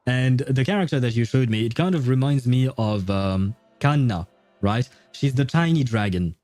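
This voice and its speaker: A man livestreams in a mellow, relaxed voice, chatting casually as he games. He rambles and hesitates with easy amusement. The sound is dry and close, with faint music behind him. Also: medium pitch, normal speed, no echo. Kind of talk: casual live chat while gaming.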